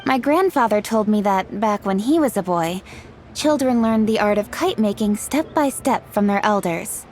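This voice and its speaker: An actress playing a poised, earnest young woman official in a video game, speaking in an articulate, measured, explanatory way. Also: medium pitch, animated, darkish tone, slight background noise, close to an everyday voice. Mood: thoughtful, earnest. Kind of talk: scripted video game dialogue acting. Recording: music behind the voice.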